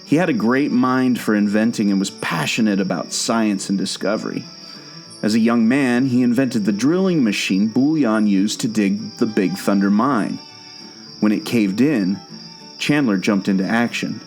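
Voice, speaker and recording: A man's voice: on the low side, moderate pace, soft background music, natural voice, rough recording. A man playing a knowing, patient guide who tells park legends in a scripted audio drama. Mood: explanatory, dry. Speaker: storytelling guide.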